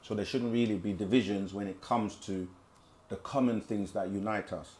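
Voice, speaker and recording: A man's voice: fairly low pitch, steady tone, normal speed, darkish tone, slight background noise. A man hosting a live question-and-answer stream, casually reading viewer questions aloud and answering them at length. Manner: conversational, repeats himself, reads chat aloud.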